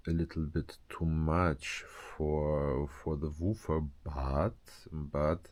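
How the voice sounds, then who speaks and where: A man's voice: very deep voice, a little mellow. A man giving a casual, unscripted show-and-tell as a hobbyist presenter, speaking hesitantly and often searching for words.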